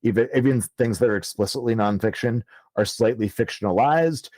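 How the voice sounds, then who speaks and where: A man's voice: mid-range voice, a little fast, darkish tone, no echo. Guy in his 30s telling his story, lots of ums and likes, swears a bit, mid voice, dry sound. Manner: rambling, many ums and likes, swears casually. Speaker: author guest. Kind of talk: casual storytelling interview. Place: podcast studio.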